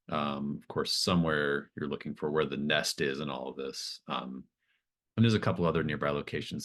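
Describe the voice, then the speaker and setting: Male game master, extremely low voice, improvised scene-setting in halting phrases. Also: a bit bright. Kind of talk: improvised tabletop game narration.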